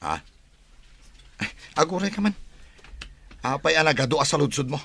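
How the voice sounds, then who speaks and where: A man's voice: on the high side, very animated, moderate pace, a bit bright, slightly rough, exaggerated voice. A man acting as an evasive, defensive husband and son in an audio drama, brushing off questions with exasperation.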